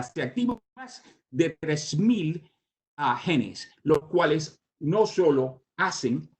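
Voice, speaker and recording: An older man born in Cuba and raised in the United States, with a Cuban Spanish accent shaped by English, gives a medical lecture as a surgeon, pausing often on "ah" fillers and restarting his sentences. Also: a little high. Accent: Cuban Spanish with American English influence. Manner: halting, with many "ah" fillers and restarts. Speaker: surgeon giving a conference talk.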